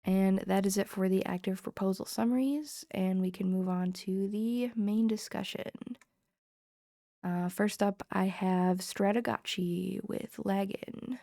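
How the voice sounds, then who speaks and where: A woman with a cold hosting a community call, reading through proposal summaries in a brisk, businesslike way with frequent ums. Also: medium pitch, steady tone, dark tone, no room sound, studio quality. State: has a cold.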